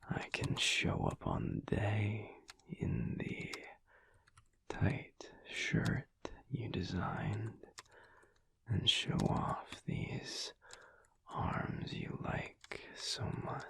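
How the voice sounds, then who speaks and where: A man playing a laid-back, teasing alpha werewolf husband in an intimate audio roleplay, talking softly and slowly with dry humour in a low, gravelly voice. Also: very animated, exaggerated voice. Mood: casual, wry. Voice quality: low, husky, gravelly whispery voice. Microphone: close microphone.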